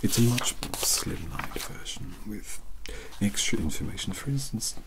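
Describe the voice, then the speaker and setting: A British man playing a younger, bookish and polite vampire-hunter mentor in a soft-spoken ASMR roleplay, hesitant, wordy and easily distracted. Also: fairly low pitch, lively intonation, normal speed, rough recording. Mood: scholarly, rambling. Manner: hesitant, apologetic, wordy, scholarly.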